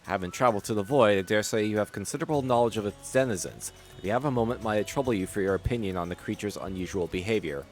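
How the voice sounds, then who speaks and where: A man's voice: lowish voice, fast, few pauses, darkish tone, faint music behind, natural voice. A man reading a scout soldier's lines aloud from a game in his own voice. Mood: earnest. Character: earnest scout soldier reporting a creature.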